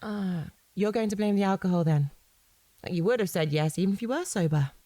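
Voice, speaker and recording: A woman's voice: mid-range voice, fairly quick, mellow, no echo, lightly acted. An actress playing a suspicious, persistent wife questioning her husband in a naturalistic audio drama, in a controlled, sceptical, probing way.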